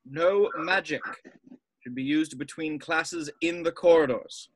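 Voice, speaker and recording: A man playing a formal old wizard headmaster, giving measured announcements in a script read. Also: fairly high pitch, husky, dry recording, poor audio. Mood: formal, solemn. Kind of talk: table read of a film script.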